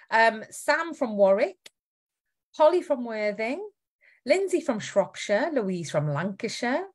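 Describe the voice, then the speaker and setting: A woman from Wales with a faint Welsh accent, hosting a casual live stream, chatty and lively as she greets viewers and reads out their comments. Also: medium pitch.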